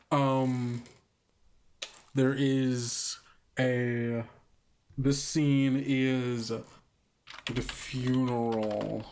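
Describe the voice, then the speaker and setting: A man improvising scene narration for a tabletop roleplaying game, halting and deliberate as he searches for words. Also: medium pitch. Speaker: game master and player on an actual-play podcast.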